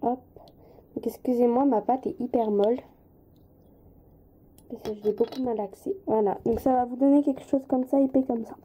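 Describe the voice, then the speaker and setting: A woman's voice: fairly high pitch, steady tone, moderate pace, pauses often, mellow, husky. A woman with a slight head cold giving a casual hands-on craft tutorial, informal and hesitant, often apologizing as she goes.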